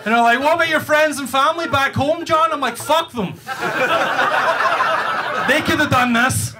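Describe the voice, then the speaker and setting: A Scottish man with a Glasgow accent doing stand-up, his voice sitting high for a man and his delivery brash and full of swearing. He speaks into a handheld mic on a small club stage. Clear audience noise and laughter sit under the live recording. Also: normal speed, a loud crowd. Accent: Glaswegian Scottish. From Scotland. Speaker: stand-up comedian. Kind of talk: stand-up comedy set. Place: comedy club stage, indoors.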